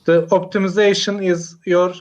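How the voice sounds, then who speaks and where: A man's voice: high-pitched, level tone, moderate pace, darkish tone, highs cut off. A man from Turkey with a Turkish accent, speaking English as a learner on a video call, hesitant and full of fillers as he searches for words. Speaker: English learner, computer engineer. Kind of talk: casual online English lesson chat.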